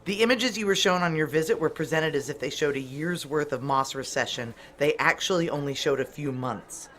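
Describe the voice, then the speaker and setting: An actor playing a determined, tense security chief, narrating a noir audio drama in a clipped, urgent, hard-boiled manner. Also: male voice, fairly high pitch, a little fast, fairly bright tone, a little hiss or hum, lightly acted. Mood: urgent, deferential. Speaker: narrator and lead character.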